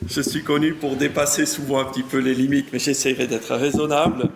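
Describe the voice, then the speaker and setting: Brief: Swiss German-accented male pastor, 50s, genial and chatty, gravelly voice, middle pitch. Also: moderate pace, a little hiss or hum. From German-speaking part of canton of Bern, Switzerland. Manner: genial, chatty, self-deprecating, reads scripture with lively emphasis. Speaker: guest pastor. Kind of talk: sermon with Bible reading.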